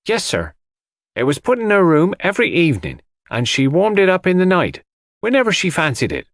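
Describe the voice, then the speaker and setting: A man's voice: sits high, huge pitch swings, brisk, no echo, clean studio sound, voice pushed up, full character voice. A man voicing a young housemaid, a deferential, eager and easily flustered servant in an audiobook mystery.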